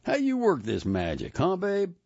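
A man voicing a sly, showy, smooth-talking middle-aged man with a boastful drawl. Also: high voice, huge pitch swings, normal speed, a little mellow, no room sound, highs cut off, pitched above the performer's own voice, exaggerated voice.

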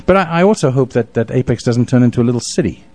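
A man's voice: mid-range voice, expressive, quick pace, darkish tone. An older man from South Africa hosting a casual radio conversation, rambling and joking, often repeating his words. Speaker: radio show host.

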